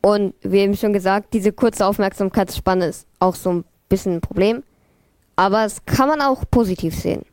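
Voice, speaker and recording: A woman's voice: medium pitch, moderate pace, darkish tone, no echo. A teenage girl presenting her team's project, hesitant and full of fillers. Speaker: student presenter.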